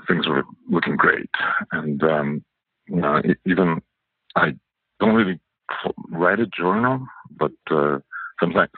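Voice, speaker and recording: A Russian-born architect raised in Canada, recounting events in a halting way with many fillers, his voice husky. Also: a bass voice, dry recording. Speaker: architect guest. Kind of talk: storytelling interview answer. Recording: phone-like narrow audio.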